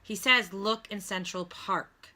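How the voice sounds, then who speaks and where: Woman reading as a brisk storybook male dentist. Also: mid-range voice, bright, forceful tone. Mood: encouraging. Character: brisk, friendly adult male dentist, advising.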